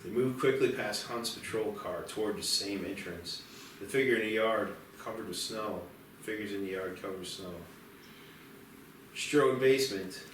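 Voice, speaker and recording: A man's voice: lowish voice, normal speed, a bit bright, slight background noise. Very echoey male screenplay narrator. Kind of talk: scripted narration of stage directions at a table read. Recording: very echoey, distant, music behind.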